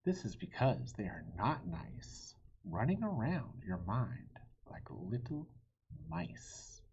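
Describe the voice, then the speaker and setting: A man reading a children's story aloud in a theatrical way, with a breathy, husky voice that dips into hushed tones. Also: mid-range voice, very animated, takes it slowly, dull audio. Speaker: children's book reader.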